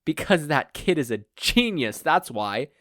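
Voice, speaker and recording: A man's voice: fairly high pitch, very animated, measured pace, fairly bright tone, no room sound, voice pushed up. A bold, defiant female superhero acted out by a man. Her lines are blunt and emphatic, delivered like a comic-book heroine. The recording is close and dry.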